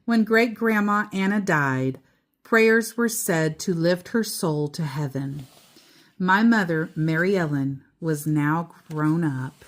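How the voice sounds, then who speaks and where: A woman reading a children's book aloud as a storyteller, deliberately, pausing between short phrases and adding casual asides. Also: on the low side, a little hiss or hum.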